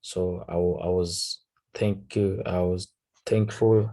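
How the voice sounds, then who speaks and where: Slow, deep-voiced Tanzanian man. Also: steady tone, dark tone. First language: Swahili. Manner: halting, searching for words. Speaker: forex trader. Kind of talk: casual trading recap over a chart.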